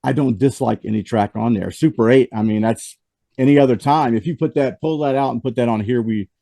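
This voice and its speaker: Guy hosting a music chat, voice going after the flu, kinda gravelly and mellow, rambles and restarts a lot. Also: medium pitch, fairly quick, no echo. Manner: rambling, with frequent restarts and fillers. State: losing his voice after the flu. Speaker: host. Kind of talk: casual music review chat.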